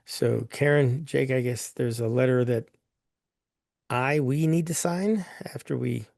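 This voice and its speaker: A man chairs a board meeting in a hoarse, gravelly voice, with plenty of "um"s and restarts as he works through the items. The recording is dry and close, with no room echo. His pitch sits in the middle range for a man. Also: unhurried, darkish tone. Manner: plain, rambling, with many fillers. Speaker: governing council chair.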